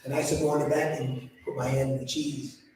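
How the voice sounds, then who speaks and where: A man's voice: mid-range voice, little pitch movement, fast, darkish tone, slight background noise. A man in his late forties co-hosting a casual chat, joking and conversational. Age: 40s. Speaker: co-host. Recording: echoey room, far from mic.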